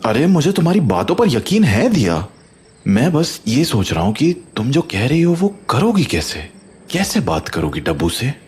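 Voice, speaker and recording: A man playing a concerned, supportive husband in a horror audio drama, deliberate, with an Indian accent and English phrases mixed in. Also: medium pitch, wildly swooping pitch, darkish tone, breathy edge, full character voice. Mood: reassuring, concerned. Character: concerned supportive husband, skeptical but trusting.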